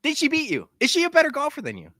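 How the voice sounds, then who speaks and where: Male co-host, bright and animated, teasing, dry sound. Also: extremely high pitch, rapid-fire, no room sound. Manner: teasing, needling. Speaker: podcast co-host. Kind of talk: casual sports podcast chat.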